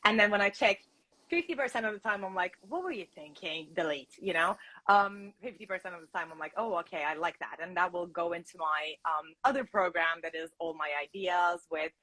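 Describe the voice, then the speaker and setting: A woman's voice: fairly low pitch, a little fast, bright, forceful tone, poor audio. A woman from Turkey with a Turkish accent, a content creator and coach chatting on a video call, laughing often. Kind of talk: casual interview chat.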